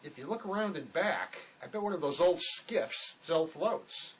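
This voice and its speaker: Man playing easygoing convict, high-ish animated voice. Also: unhurried, a little hiss or hum, poor audio. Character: laid-back, resourceful escaped convict, local fisherman type. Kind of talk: dramatic script performance.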